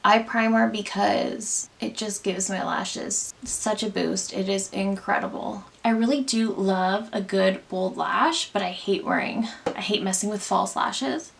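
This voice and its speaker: Chatty young woman with a gravelly edge. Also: medium pitch, a bit bright. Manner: chatty, candid product opinions. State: congested from allergies. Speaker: beauty vlogger. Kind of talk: casual makeup tutorial chat.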